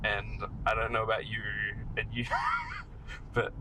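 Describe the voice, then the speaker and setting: A man's voice: on the low side, completely flat delivery, a little slow. An Australian man in casual chat, talking in an informal, rambling, joking way while riding in a car. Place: inside a car being driven. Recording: clear road noise inside moving car.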